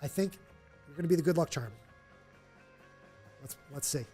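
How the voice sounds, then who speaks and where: A man's voice: fairly high pitch, moderate pace, dark tone, soft background music. A man with a nasal voice hosting a live charity stream, chatting upbeat to fill time. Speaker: livestream host. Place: stadium event room.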